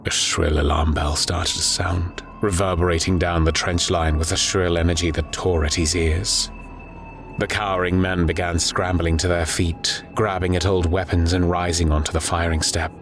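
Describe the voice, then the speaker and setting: Low, even-toned male audiobook narrator. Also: moderate pace, soft background music. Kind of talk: scripted dramatic horror narration.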